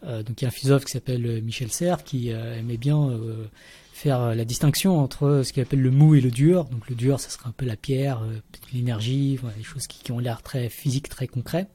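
A man's voice: medium pitch, fairly even intonation, fairly quick, mellow, slightly rough. A man in his thirties, a podcast guest and researcher, explaining at length with many fillers and restarts. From Vietnam, raised in the Paris region. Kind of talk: casual interview talk.

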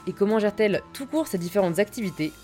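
A woman's voice: mid-range voice, animated, quick pace, dark tone, faint music behind. A woman in her twenties speaking as a podcast host and interviewer. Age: 20s. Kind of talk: scripted introduction and conversational interview.

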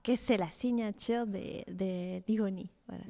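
A woman's voice: medium pitch, steady tone, measured pace, vocal fry, warm tone. A young woman from Mexico with a Mexican Spanish accent, a fashion designer speaking as an interview guest in French, not her first language, hesitant with many fillers and restarts. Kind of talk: interview conversation.

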